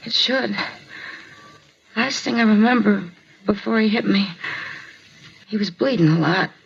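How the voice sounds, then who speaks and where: An actress playing a dazed, pained mugging victim in a 1950s radio drama, answering haltingly and repeating herself. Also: female voice, medium pitch, vocal fry, slightly rough, heavily acted. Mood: weak, halting. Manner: halting, repetitive, confused short answers. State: in pain, weak. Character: dazed, hurting woman mugging victim, pleading. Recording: muffled, noisy 1950s radio recording.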